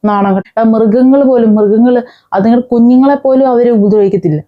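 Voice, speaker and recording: A woman vlogger, giving angry, scolding commentary with many pauses and restarts. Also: on the high side, phone-quality sound. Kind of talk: angry commentary on a news case.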